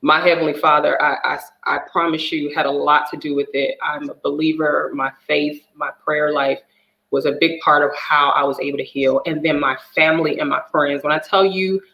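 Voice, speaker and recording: A woman's voice: sits low, a bit bright, echoey room, low-quality recording. A woman telling her personal story as a divorce coach guest, earnest and emotional. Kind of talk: personal testimony in a talk show.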